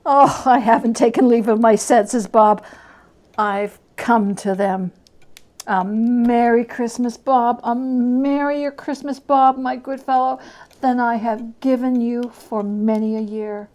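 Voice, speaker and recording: A woman's voice: fairly high pitch, steady tone, slow pace, a little mellow. A woman voicing a reformed old male miser in a storybook reading, emphatic and bursting with generosity. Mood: jubilant.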